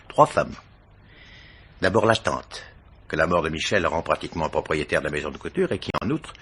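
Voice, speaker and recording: Gravelly, probing old-school police chief. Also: male voice, rumbling low, a bit bright, heavily acted. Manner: hesitant, questioning, drawling interjections. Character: seasoned police chief inspector, unhurried, probing, paternal. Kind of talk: French radio mystery play acting.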